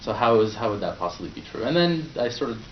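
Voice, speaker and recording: A man's voice: medium pitch, very animated, fast, slight background noise. A young man born in Poland, whose first language is Polish, gives a prepared speech at a club meeting, talking conversationally with fillers and restarts. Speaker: club speaker. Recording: roomy, distant, dull sound.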